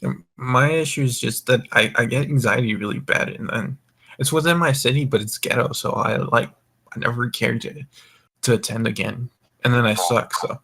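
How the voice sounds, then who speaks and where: A man's voice: medium pitch, normal speed, vocal fry, sharp tone, slightly rough. A man hosting a casual voice-chat podcast, rambling with frequent restarts and stammered repeats, speaking into a home mic. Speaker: voice call host.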